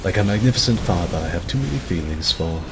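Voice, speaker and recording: A man's voice: sits low, animated, quick pace, noisy background. A man voicing a cheerful, sentimental knight, his voice muffled by a hand over his mouth as if inside a helmet. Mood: wistful. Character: cheerful chivalrous male knight, sentimental, earnest.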